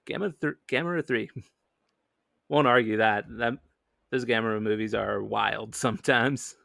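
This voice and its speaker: A man's voice: fairly low pitch, unhurried, creaky, pushed and bright, dry recording, clean studio sound. A man hosting a casual film discussion, conversational and agreeable as he shares his opinions. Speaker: review show host.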